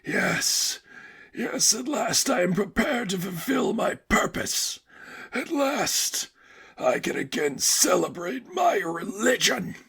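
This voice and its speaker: A man voicing a meek, devout young man who pleads in a halting, breathy, strained whisper. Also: high-pitched, sing-song, a bit bright, voice pushed up, exaggerated voice. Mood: fervent. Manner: halting, drawn-out, supplicating.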